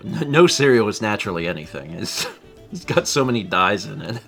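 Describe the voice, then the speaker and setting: Lively bright-voiced man in his thirties. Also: fairly low pitch, fairly quick, creaky, soft background music. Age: 30s. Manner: rambling, self-deprecating, laughs often. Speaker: livestream co-host. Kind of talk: casual chat reading viewer comments.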